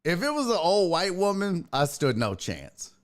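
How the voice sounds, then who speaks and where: Animated, lively-pitched male streamer. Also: normal speed. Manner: animated, joking storyteller who reads out chat messages. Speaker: streamer and sports talk host. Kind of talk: casual livestream chat.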